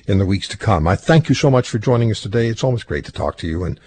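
A man's voice: on the low side, expressive, fast, a little mellow, breathy edge. A man hosting a radio talk show, interviewing a guest conversationally with short affirming responses. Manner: conversational, affirming with brief acknowledgments.

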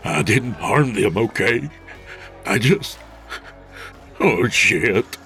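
A man voicing a frightened lowlife who stammers and whines. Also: lively intonation, drawn-out words, bright, forceful tone, faint music behind, full character voice. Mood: scared. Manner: stammering, whining. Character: scared whiny adult male lowlife.

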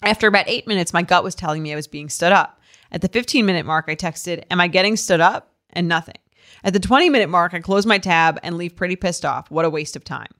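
A woman's voice: on the low side, fast talker, fairly bright tone. A woman podcast co-host reading a listener's email aloud in a conversational style, adding casual asides.

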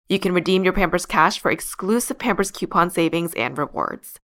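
A woman's voice: fairly low pitch, fairly bright tone. A woman podcast host reading a sponsored ad in an upbeat, persuasive, scripted way. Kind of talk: ad read.

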